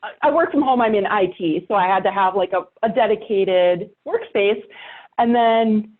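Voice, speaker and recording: A woman with a medium-pitched voice comes through thin, phone-like call audio. She talks in a casual, colloquial way as a podcast guest, leaning on her words for emphasis. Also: normal speed. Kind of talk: casual conversational interview. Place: home workspace studio with sound panels. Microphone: microphone with preamp, over online call.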